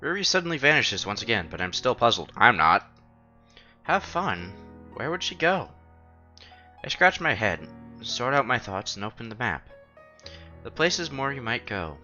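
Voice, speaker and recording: Male game streamer, bright forceful voice, animated dramatic read-aloud with casual asides, slightly muffled recording. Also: mid-range voice, normal speed, long pauses. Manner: animated, acts out protagonist's lines. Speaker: streamer reading a visual novel aloud. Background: soft game music.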